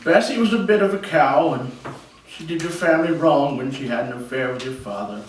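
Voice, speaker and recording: A blunt, folksy old farmer, read by a man speaks deadpan at a table read, slightly high in pitch. The room is very echoey, with faint noise. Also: brisk, recorded from a distance, close to an everyday voice. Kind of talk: script table read, amateur acting.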